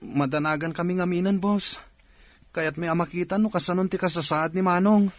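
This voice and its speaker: A man's voice: high-pitched, fairly even intonation, fairly quick, no room sound, studio quality, natural voice. A performer voicing a man, a worried and earnest younger brother with an Ilocano accent, in a radio drama. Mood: anxious, pleading.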